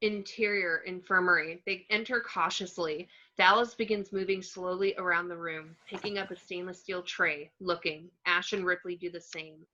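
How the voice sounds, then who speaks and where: A woman's voice: fairly low pitch, steady tone, moderate pace, hardly any pauses, pushed and bright. A woman narrating a screenplay's action descriptions in a scripted read. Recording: dull, lo-fi home recording.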